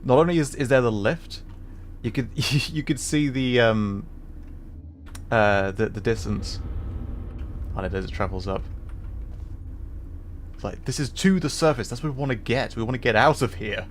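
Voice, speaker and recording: A man giving casual, halting live commentary as he streams a video game, musing with playful asides. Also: mid-range voice, a little hiss or hum.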